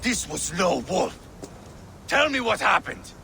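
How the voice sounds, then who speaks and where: A fierce, grieving male Viking warlord in a video game. The pitch runs high and swings widely, with a very hard bright edge. He is gravelly, intense and vengeful. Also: sing-song, takes it slowly, a little hiss or hum, heavily acted. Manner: intense, swinging from hushed to roaring.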